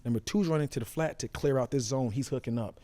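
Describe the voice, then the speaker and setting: A man's voice: medium pitch, quick pace, dark tone. A man breaking down football plays as a talk show analyst, colloquial and explanatory. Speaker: sports talk show co-host, former player turned analyst.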